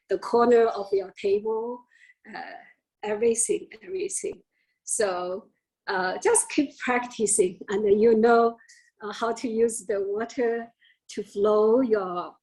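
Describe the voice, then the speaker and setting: A woman sharing her sketching practice on a video call, halting and a bit nervous as she speaks. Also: mid-range voice, a little mellow, husky, poor audio. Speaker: presenting artist. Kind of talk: informal online presentation.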